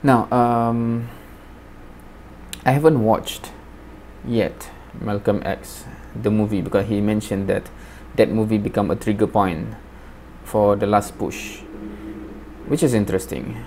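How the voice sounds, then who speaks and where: A man's voice: on the low side, fairly even intonation, slow pace, a little mellow, a little hiss or hum. A man hosting a reaction video, talking casually and hesitantly with many fillers.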